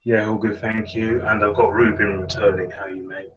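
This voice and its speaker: A man's voice: on the low side, little pitch movement, a little fast, a bit bright, muffled recording, a little hiss or hum. A man hosting a casual podcast chat, asking each guest in turn with brief, friendly prompts. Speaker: podcast host.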